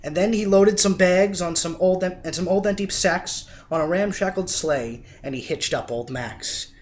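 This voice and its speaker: A man reading a rhyming children's story aloud in an expressive, theatrical and emphatic way. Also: high voice, normal speed, a little hiss or hum. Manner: theatrical, emphatic, swelling and dropping for effect. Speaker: storybook reader.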